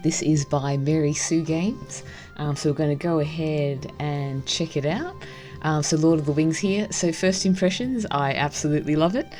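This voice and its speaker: A woman's voice: sits low, normal speed, a little mellow, soft background music. A woman hosting a gaming video, casually commenting and reading the story text aloud. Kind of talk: casual game commentary and read-aloud narration.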